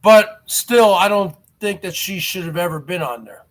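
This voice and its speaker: A man in his forties with a stutter and a high voice hosts a live stream. He talks straight to his viewers and keeps circling back to the same grievance, repeating his words as he goes. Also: moderate pace. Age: 40s. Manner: aggrieved, repeats himself.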